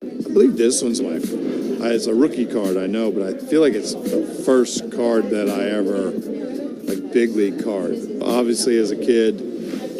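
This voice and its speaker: Male athlete for a promo, fairly low voice, informal halting delivery, loud music underneath. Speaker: professional baseball player. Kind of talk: casual reminiscing in a promo. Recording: loud music over speech. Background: loud music bed.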